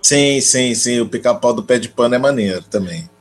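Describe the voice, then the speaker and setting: A man's voice: medium pitch, moderate pace, slight background noise. A Brazilian man hosting a podcast in casual, slangy chat.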